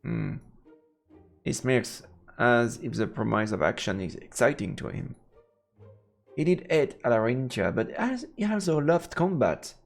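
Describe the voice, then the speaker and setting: A man's voice: medium pitch, expressive, measured pace, gravelly. A man streaming a let's play, reading game text aloud and dropping in playful murmured interjections. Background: game music.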